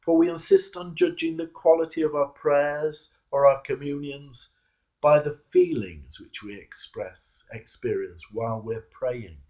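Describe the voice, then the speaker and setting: A British man, a clergyman, reading a devotional reflection in a measured, emphatic way with a gravelly, husky voice. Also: a little high, dark tone. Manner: measured, emphatic, repeats key phrases for weight. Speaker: Anglican clergyman. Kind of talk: devotional sermon reflection, read aloud.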